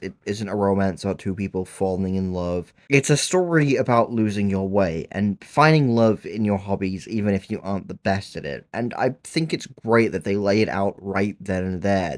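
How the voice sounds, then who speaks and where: A man's voice: on the low side, darkish tone. A man narrating a scripted video essay in an earnest, enthusiastic manner, with casual asides.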